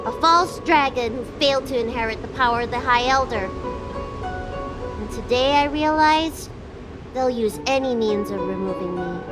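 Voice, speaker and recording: A woman's voice: fairly high pitch, moderate pace, over music, close to an everyday voice, low-quality recording. A woman voicing an earnest, candid young girl healer of dragon lineage in a fantasy game. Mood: dejected.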